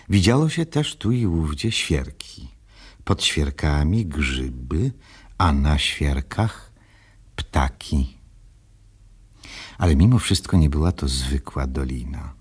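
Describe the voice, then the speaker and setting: A man narrating a children's radio play in a measured, solemn storybook style with a husky voice. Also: rumbling low, darkish tone.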